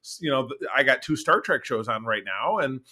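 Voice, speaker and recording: Bright, forceful man chatting on a podcast. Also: medium pitch, fairly quick. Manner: animated, emphatic, repeats phrases. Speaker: podcast co-host. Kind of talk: casual opinionated podcast chat.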